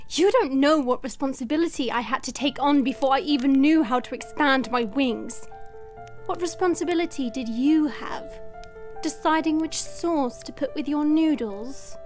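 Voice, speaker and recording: A woman's voice: sits high, measured pace, soft background music. A woman playing a proud, burdened young dragon princess in an audio roleplay, with formal, regal diction. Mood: angry, scornful.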